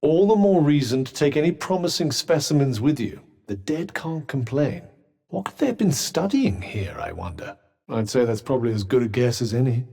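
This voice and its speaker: A man's voice: medium pitch, lively intonation, normal speed, gravelly, mellow, husky. A man with a British accent voicing a haughty, sardonic magical cuff in a video game, speaking with dry, articulate understatement. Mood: sardonic, musing. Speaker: voice actor. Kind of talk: video game companion dialogue.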